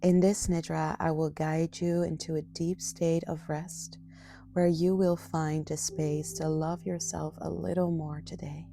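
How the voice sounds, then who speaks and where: A woman leading a guided meditation as a yoga nidra guide, speaking in a soft, gentle, soothing and often breathy manner. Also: sits low, flat delivery, unhurried.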